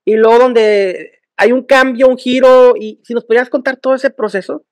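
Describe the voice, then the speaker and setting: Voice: mid-range voice, fairly even intonation, moderate pace, darkish tone, no echo. An interviewer asking a long, rambling question that halts on fillers. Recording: dry close sound.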